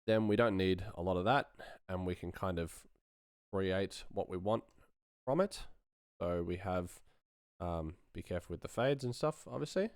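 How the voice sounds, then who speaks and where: Male sound designer, deep voice, close dry mic, informal thinking-aloud tutorial delivery. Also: no room sound. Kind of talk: casual live-stream tutorial.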